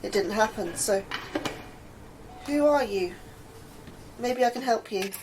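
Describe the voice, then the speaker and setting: An anxious scientist trapped in a sci-fi horror drama. Her voice is fairly high, halting and pleading. There is clear background noise around it. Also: expressive. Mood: apologetic. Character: frightened, anxious woman scientist trapped in a mind network. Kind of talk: audio drama acting.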